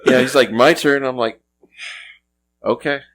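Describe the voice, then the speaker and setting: A man's voice: medium pitch, unhurried, a bit bright. A man, a returning guest and father, laughing as he tells a family anecdote in casual chat.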